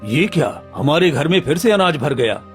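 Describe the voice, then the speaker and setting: A man's voice: on the high side, sing-song, moderate pace. Earnest village headman played by a man, music behind. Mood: relieved, earnest. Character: village headman, grateful and repentant. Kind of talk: Hindi moral-story cartoon dialogue.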